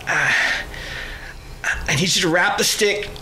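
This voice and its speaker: A man's voice: sits high, unhurried, bright, forceful tone, slight background noise, heavily acted, low-quality recording. An actor playing an affectionate middle-aged father in a radio drama. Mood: pained, urgent. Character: affectionate father, playful, then gritting through pain.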